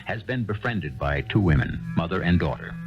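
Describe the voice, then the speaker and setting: A male host reads formal series narration in a measured, stately delivery. His voice is deep and gravelly, with wide sweeps of pitch. Music plays clearly underneath, and the old broadcast sound is dull and muffled. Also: lively intonation. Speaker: host of a radio mystery series.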